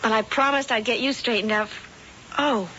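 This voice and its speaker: A woman's voice: a little high, steady tone, normal speed, pushed and bright, slight background noise. An actress playing a naive young woman in a 1970s radio drama, polite and prone to stammering when flustered. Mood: earnest. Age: young adult. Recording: dull old radio broadcast with music.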